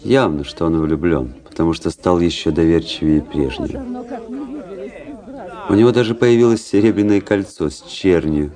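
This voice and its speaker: Casting: male actor as world-weary officer-narrator for radio play; low, mellow, introspective, measured delivery. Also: natural voice. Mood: cool, scornful. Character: brooding, world-weary young officer narrating his own story. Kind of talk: literary first-person narration in a radio play.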